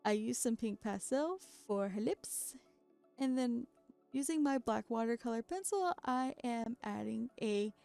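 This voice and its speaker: A woman talks through her crafting in a relaxed, chatty voice-over with frequent self-deprecating laughs. She is worn out from a sleepless night, so her voice is creaky and keeps cracking. Faint background music plays beneath her. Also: fairly high pitch, measured pace. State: very tired after staying up all night. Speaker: doll customizing creator. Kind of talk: casual craft voice-over narration.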